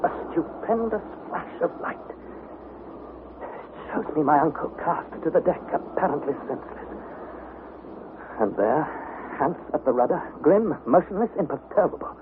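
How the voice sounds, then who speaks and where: A man acting an anxious young explorer in an old radio play, with a high voice that swings dramatically. The recording is thin and narrow like a telephone, with clear noise. He delivers every phrase with theatrical intensity. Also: animated, normal speed, pauses often, close to an everyday voice. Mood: terrified, urgent, then grim, bleak. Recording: noisy, phone-thin vintage radio recording.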